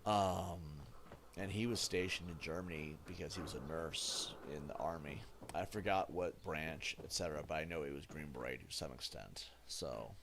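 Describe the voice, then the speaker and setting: Deep-voiced man in his thirties rambling. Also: normal speed. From United States. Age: 30s. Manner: rambling, lots of fillers and self-corrections. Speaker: gaming streamer. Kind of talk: casual chat while playing a game. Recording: steady background noise.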